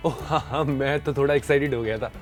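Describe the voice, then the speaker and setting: A man's voice: medium pitch, fast talker, faint music behind, natural voice. A man playing a genial, eager pharmacy shopkeeper in a scripted training film, speaking informally and inquisitively. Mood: curious, eager.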